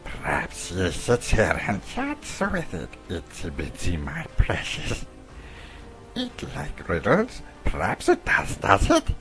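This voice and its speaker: A slimy little cave creature from a storybook, gravelly and sharp-edged. The hissing voice crawls slowly and swoops wildly, wheedling and menacing as if muttering to itself. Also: male voice, low-pitched, wildly swooping pitch, soft background music, exaggerated voice. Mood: sly, wheedling. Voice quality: hissing, raspy, creaky. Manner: talks to himself in drawn-out whispery hisses. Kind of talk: dramatic storybook reading.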